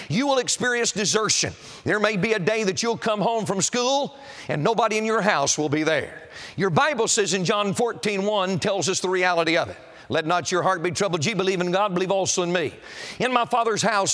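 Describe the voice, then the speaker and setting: A middle-aged or older male preacher with a high-pitched voice. He delivers an evangelistic sermon to a congregation in an auditorium, emphatic and declamatory, pressing solemn warnings on his listeners. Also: a bit bright.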